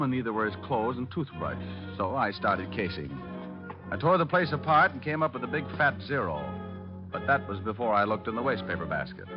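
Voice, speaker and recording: An actor playing a breezy, wisecracking private detective in 1940s radio style, flippant and deadpan. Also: male voice, fairly low pitch, natural voice. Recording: muffled old radio recording with music.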